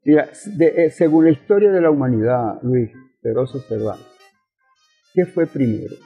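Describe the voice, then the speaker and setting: Thin, narrow radio sound with music behind an older Venezuelan man. His voice is husky and he explains politics like a lecturer, posing rhetorical questions and repeating his key phrases. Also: mid-range voice, lively intonation, moderate pace. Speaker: interviewed communist politician and professor. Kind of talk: conversational political explanation in an interview.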